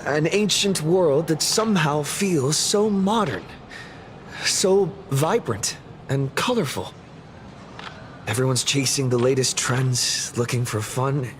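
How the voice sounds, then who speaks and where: Slow-paced, easygoing young hero. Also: male voice, a little high, a little hiss or hum. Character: modest, easygoing young male adventurer hero. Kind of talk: anime-style game voice acting. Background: game music.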